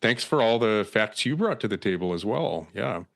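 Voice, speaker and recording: Lively, joking male podcast host. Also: on the low side, moderate pace, gravelly. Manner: animated, joking, tongue-in-cheek. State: losing his voice. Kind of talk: casual conversation.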